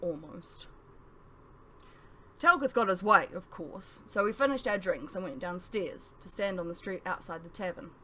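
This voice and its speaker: Lower-pitched woman narrating an audiobook. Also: lively intonation, moderate pace, pushed and bright, slight background noise. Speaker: author reading own novel aloud. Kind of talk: scripted first-person audiobook narration.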